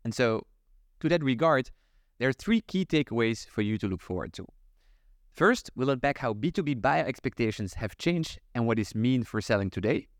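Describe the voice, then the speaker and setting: A man's voice: medium pitch, expressive, moderate pace, dry recording, studio quality. A man hosting a podcast, reading an introduction and then asking loose, rambling questions. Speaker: podcast host.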